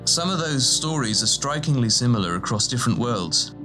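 A man's voice: medium pitch, expressive, a little fast, voice pushed up, natural voice. A man voicing a timid, scholarly fellow who speaks in wordy, formal, tentative phrases for an audiobook. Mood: musing. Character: hesitant, scholarly, timid man with pedantic courtesy. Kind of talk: audiobook character dialogue. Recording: music bed behind the voice.

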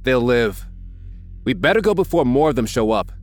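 A man doing a cocky, gruff teen gang leader. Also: mid-range voice, sing-song, moderate pace, faint music behind, pitched above the performer's own voice, natural voice. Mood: tough. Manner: gruff, sarcastic delivery. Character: tough teenage gang leader, cocky and wry.

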